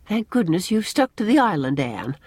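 A woman's voice: on the low side, big pitch swings, normal speed, a little mellow, slightly rough, dry recording, studio quality, voice pushed up. A woman voicing a stern, opinionated older village matron in an audiobook, emphatic and scolding with old-fashioned country phrasing. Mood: authoritative. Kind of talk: audiobook character dialogue.

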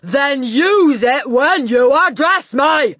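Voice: mid-range voice, animated, unhurried, a bit bright, no echo, studio quality, pitched above the performer's own voice. A storybook narrator voicing a gigantic, tyrannical headmistress who barks and sneers insults with contempt. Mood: enraged. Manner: barking, bellowing, sneering insults.

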